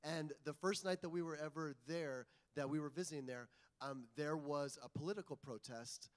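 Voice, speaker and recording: A man in his forties from Indiana tells a personal testimony on a church stage, earnest and dotted with ums. His voice runs a touch high. A long hall reverb trails behind each phrase. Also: normal speed. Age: 40s. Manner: earnest storyteller, lots of ums. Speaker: guest ministry leader. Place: church sanctuary.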